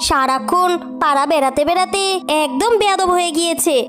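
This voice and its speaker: A greedy cartoon shopkeeper woman, acted by a woman, with a very high voice. She is haughty, snappish and brazen, over clearly audible music. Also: brisk, a bit bright. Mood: indignant. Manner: haughty, dismissive. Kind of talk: children's cartoon story dialogue.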